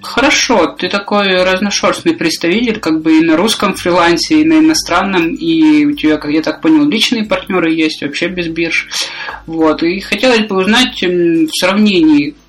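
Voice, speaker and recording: A man's voice: on the high side, normal speed, fairly bright tone, soft background music, poor audio. A man hosting a podcast, asking conversational, probing follow-up questions. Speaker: podcast host. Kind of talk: interview questions.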